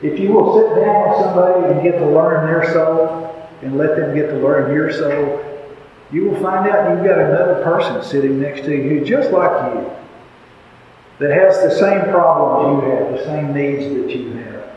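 A man's voice: fairly high pitch, normal speed. An older man with a Texas Panhandle accent preaching a sermon in a folksy, joking way, recorded in a church. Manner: folksy, joking, drawling delivery. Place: church sanctuary. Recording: echoey hall, muffled, faint background hiss.

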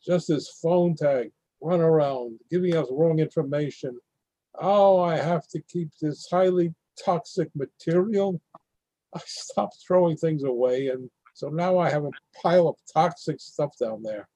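A man's voice: on the high side, measured pace, mellow, no room sound, low-quality recording. An actor playing a frustrated male homeowner in a readers theatre monologue, weary in manner. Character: exasperated adult male homeowner fighting insurers.

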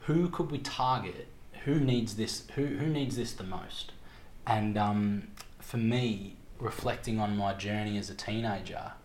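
A young Australian man speaks in a fairly low voice as an interview guest. He tells his story casually and reflectively, often pausing to find words. The room is echoey, with faint background noise. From Victoria, Australia. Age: 20s.

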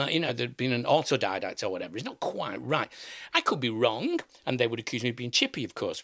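A man speaking in an animated, indignant way in an interview. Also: fairly low pitch, dry recording, studio quality.

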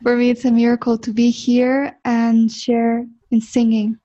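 A woman interpreting a guest's words in short consecutive chunks, with an even, plain delivery. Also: a little high, takes it slowly. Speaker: interpreter into English. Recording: muffled, dull sound.

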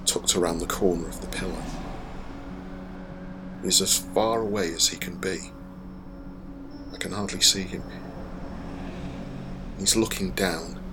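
Low-voiced, wry young male narrator. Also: background noise. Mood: anxious, grave. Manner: confiding, wry storytelling. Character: young man narrating his walk home, wry and anxious. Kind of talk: first-person radio drama monologue. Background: music behind the voice.

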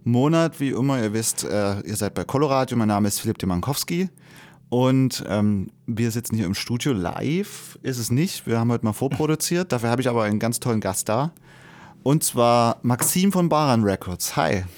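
A man hosting a community radio show from the studio. He talks in a relaxed, chatty way, leaning on small filler sounds as he welcomes his guest. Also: medium pitch, normal speed. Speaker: radio host. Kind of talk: casual radio interview.

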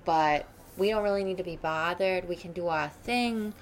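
A woman's voice: fairly low pitch, moderate pace, slight background noise, close to an everyday voice. A woman playing a snobbish, judgmental wealthy school mother in an improvised radio play, rambling on with boastful opinions. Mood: smug, boastful.

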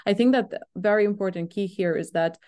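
A woman's voice: medium pitch, fairly quick, darkish tone. A woman interviewing a guest, restating his points thoughtfully and asking follow-up questions. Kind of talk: interview conversation.

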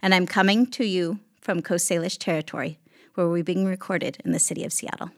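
A woman from Alaska speaking reflectively as an interview guest, in deliberate, measured phrases. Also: lowish voice, steady tone. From Alaska, United States. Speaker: podcast guest, executive director of an arts venue.

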